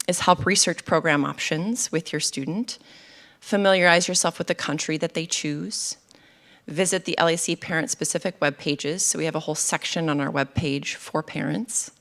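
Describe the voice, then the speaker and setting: A woman with a somewhat low voice presents information to parents at a university event. She explains the office's policies step by step and pauses on ums. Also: gravelly, a bit bright. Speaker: study abroad office staff presenter.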